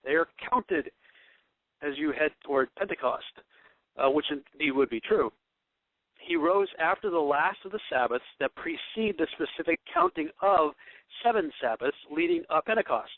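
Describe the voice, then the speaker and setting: A man's voice: on the high side, animated, normal speed, no room sound, narrow, tinny audio. A man giving an informal bible study talk, thinking aloud and searching for words as he reads and explains verses. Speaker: bible teacher.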